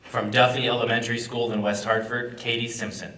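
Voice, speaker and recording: A man's voice: medium pitch, little pitch movement, brisk. A man making announcements and calling out names at a ceremony. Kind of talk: announcements and roll call of names. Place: large echoey indoor ceremony room. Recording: echoey, distant, loud noise.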